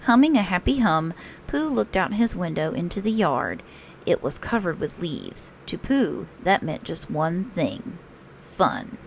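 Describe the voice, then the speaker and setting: Lively woman reading a children's story, slightly gravelly. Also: lowish voice, a little slow, slight background noise. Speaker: storytelling teacher reading aloud. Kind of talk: read-aloud of a children's picture book, with questions to listeners.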